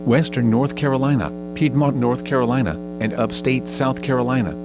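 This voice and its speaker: A synthetic man's voice reads an automated weather bulletin as a radio announcer, in a formal, measured, impersonal way. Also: mid-range voice, steady tone. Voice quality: synthetic text-to-speech voice. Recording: dull lo-fi radio sound with mains hum.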